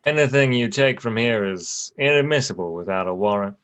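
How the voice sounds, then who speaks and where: A man's voice: fairly low pitch, expressive, fairly quick, fairly bright tone, clean tone. A man reading the part of an officious henchman in a screenplay table read.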